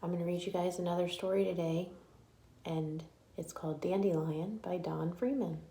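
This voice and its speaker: A woman reading a picture book aloud to children like a teacher, with animated storytelling and chatty asides. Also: fairly low pitch, normal speed. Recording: echoey room.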